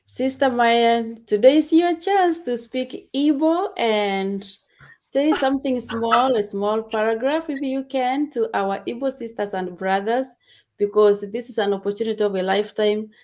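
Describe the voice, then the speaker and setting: A woman's voice: fairly high pitch, a little slow, darkish tone. A woman hosting a casual online discussion show.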